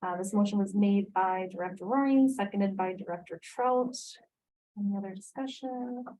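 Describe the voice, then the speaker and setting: A woman's voice: medium pitch, normal speed, dark tone, a little hiss or hum, poor audio. Board chair, woman, formal, reads motions and calls votes. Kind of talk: running a school board meeting.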